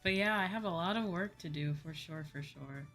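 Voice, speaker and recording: Young woman, VTuber-style streamer. Bright tone, rambling casual chat with repeated phrases, music playing behind. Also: lowish voice, fairly quick. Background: background music.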